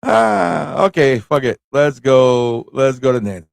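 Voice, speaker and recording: A man's voice: medium pitch, very animated, a little slow, gravelly, smooth, dry recording. A man hosting a casual livestream, informal and playful as he thinks aloud and chats with viewers. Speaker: livestream host playing an interactive story.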